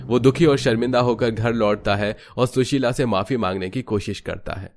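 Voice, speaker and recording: A man's voice: medium pitch, normal speed, faint music behind. A man giving scripted, melodramatic narration for a cartoon family story.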